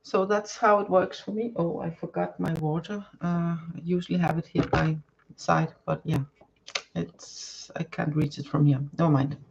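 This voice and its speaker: A woman with a Danish accent, whose first language is Danish, giving a live tarot reading to viewers and often pausing to search for words. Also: on the low side, steady tone, creaky, a little mellow, muffled recording, slight background noise. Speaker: tarot card reader. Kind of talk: live-stream card reading, chatting to viewers.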